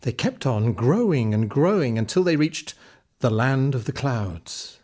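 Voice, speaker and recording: A man narrating a children's fairy tale in an expressive, scripted storytelling style. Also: medium pitch, unhurried. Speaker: audiobook narrator.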